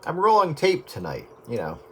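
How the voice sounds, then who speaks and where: A man's voice: fairly high pitch, wildly swooping pitch, moderate pace, a bit bright. A man chatting casually over a two-way radio. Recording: very noisy radio transmission, faint.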